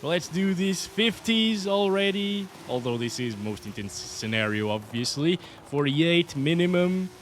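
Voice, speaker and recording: A man's voice: on the high side, expressive, unhurried, hardly any pauses, slight background noise. Chatty man with a Portuguese accent. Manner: chatty, upbeat, often says "guys". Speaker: PC hardware reviewer. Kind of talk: casual gameplay commentary.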